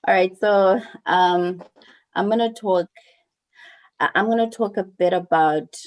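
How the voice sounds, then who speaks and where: Low, warm-voiced woman guest. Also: poor audio. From South Africa. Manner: thoughtful, earnest, repeats words while searching for them. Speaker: panel guest. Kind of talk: casual online panel chat.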